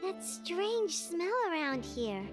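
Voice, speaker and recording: Tiny childlike game sidekick, extremely high and squeaky, expressive and inquisitive, with bright music behind. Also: female voice, slow, exaggerated voice. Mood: curious. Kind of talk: scripted game dialogue.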